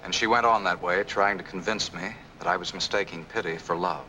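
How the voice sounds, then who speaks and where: Devoted fiancé, low bright voice, emphatic, 1940s film noir, old soundtrack. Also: male voice, brisk, slight background noise, poor audio. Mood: earnest, defensive. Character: devoted, insistent young fiancé in love.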